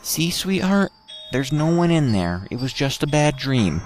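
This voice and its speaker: A man voicing a sleepy, concerned mother who gently reassures her young son in a narrated scary story. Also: mid-range voice, big pitch swings, unhurried, soft background music, voice pushed up. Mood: soothing.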